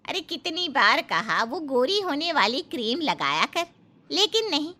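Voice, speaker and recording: A woman voicing a nagging, scolding middle-aged mother in an animated moral story. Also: high voice, unhurried, full character voice. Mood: exasperated scolding.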